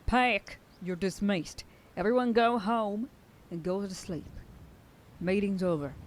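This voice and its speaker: A woman's voice: medium pitch, takes it slowly, a little hiss or hum. A woman playing a stern werewolf sheriff girlfriend. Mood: scolding. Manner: scolding, bossy then whispering tenderly. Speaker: voice actor. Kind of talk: F4M ASMR roleplay.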